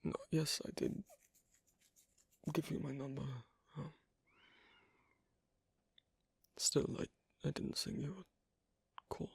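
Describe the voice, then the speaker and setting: A performer voicing a shy, awkward, asocial young werewolf in an intimate ASMR roleplay, speaking softly and haltingly in a breathy, husky near whisper. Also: medium pitch, flat delivery, dry recording, heavily acted. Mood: awkward, nervous. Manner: hesitant, halting, soft-spoken with long pauses.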